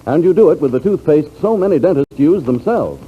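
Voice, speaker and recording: A man's voice: a little high, expressive, brisk, breathy edge. An American man announcing and reading scripted advertising in a 1940s radio style. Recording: dull, faintly noisy 1940s radio recording.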